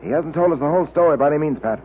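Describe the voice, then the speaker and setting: Male actor as blunt detective, very quick and authoritative, narrow old-radio sound. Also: on the high side, lightly acted. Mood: pondering, businesslike. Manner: clipped, authoritative, no-nonsense. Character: brisk, blunt, level-headed male police detective. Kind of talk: old-time radio drama acting. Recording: tinny old radio recording, light noise.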